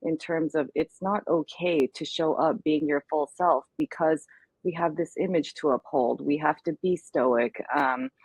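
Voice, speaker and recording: Earnest low-voiced husky woman. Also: darkish tone, dry recording, poor audio. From United States. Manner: earnest, reflective, measured. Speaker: guest speaker. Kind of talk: candid interview conversation.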